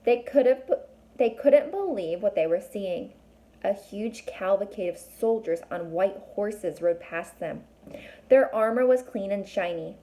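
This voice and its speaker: A woman's voice: mid-range voice, warm tone. A woman reading a children's book aloud as a teacher would, stopping to explain and put questions to young listeners.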